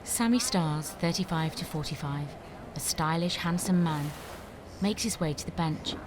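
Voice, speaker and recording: Casting: female screenplay narrator, slightly low voice, noisy backdrop. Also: normal speed. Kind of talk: scripted narration of screenplay stage directions.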